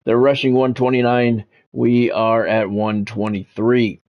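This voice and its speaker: A middle-aged man talks on a livestream as a game commentator. His pitch is average for a man, and his delivery moves between casual chat and announcer-style sports play-by-play. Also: flat delivery, measured pace, dull audio.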